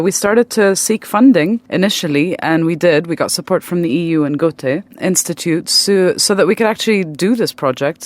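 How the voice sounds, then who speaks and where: A woman talking as an artist guest in a radio interview, explaining in a conversational way and pausing to find words. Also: fairly low pitch.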